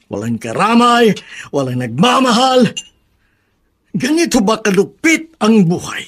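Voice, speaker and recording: An actor with a Filipino accent playing a proud, lonely, aging army colonel in a melodramatic radio drama, imperious and anguished. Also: male voice, very high-pitched, gravelly, fairly bright tone, full character voice. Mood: drunken, bitter. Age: older.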